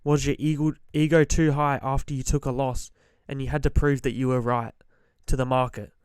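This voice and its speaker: A man talks straight to the listener about trading mindset, blunt and emphatic, hammering the same points again and again. His voice is a little hoarse from a cold and he clears his throat often, but the tone underneath stays smooth. The sound is close and dry, with no room around it. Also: mid-range voice, little pitch movement, normal speed, darkish tone, dry recording. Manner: direct, emphatic, repeats key points. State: slightly hoarse from being sick. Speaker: forex trader giving advice. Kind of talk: motivational trading-psychology talk to camera.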